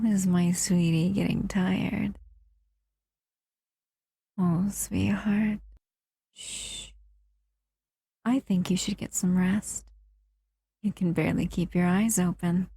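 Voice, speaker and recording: Woman doing a shadow monster lady, slow soft husky voice, cuddly and protective, dry close mic. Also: medium pitch, dry recording. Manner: soothing, intimate, often whispering. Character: captive female shadow monster, protective and affectionate. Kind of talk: audio roleplay to a listener.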